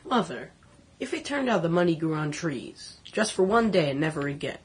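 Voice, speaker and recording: Husky, inquisitive little boy. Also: deep voice, sing-song, normal speed, exaggerated voice. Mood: curious, wheedling. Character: Ezra, inquisitive, sweet, earnest ten-year-old boy. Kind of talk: radio drama acting.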